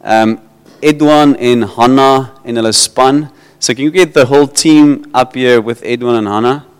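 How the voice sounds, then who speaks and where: South African pastor in a reverberant hall. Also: male voice, mid-range voice, clean tone. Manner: halting, repeats words, switches into Afrikaans. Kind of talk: informal church sermon. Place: church hall.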